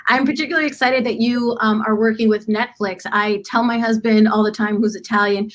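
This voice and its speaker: A woman with a somewhat high voice, leading a roundtable discussion. She sounds upbeat and fills her pauses with "um" as she introduces the next speaker. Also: fairly even intonation. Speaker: roundtable moderator. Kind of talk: hosting a roundtable.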